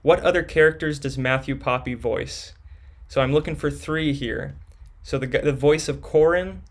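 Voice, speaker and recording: A man's voice: a little high, moderate pace. A young man hosting a live stream, reading viewers' questions from chat and answering them casually.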